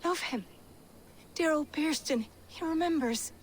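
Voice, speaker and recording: An actress playing a plucky, poor middle-aged village woman in a medieval video game, earnest and expressive with archaic phrasing. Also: high voice, measured pace, slight background noise. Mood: wistful, tender. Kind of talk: dramatic video game acting.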